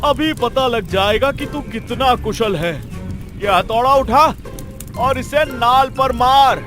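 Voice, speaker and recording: Guy doing a cartoon blacksmith, hot tempered, really high voice, loud drawn out griping, music behind. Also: slow pace, exaggerated voice. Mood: suspicious, gruff. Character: hot-tempered aggrieved village blacksmith, grown man. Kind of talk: cartoon story voice acting.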